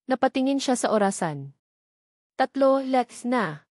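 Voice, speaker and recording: A woman's voice: high voice, lively intonation, a little slow, darkish tone, no room sound, clean studio sound. A woman with a Filipino accent reading a scripted romantic drama as an audiobook narrator.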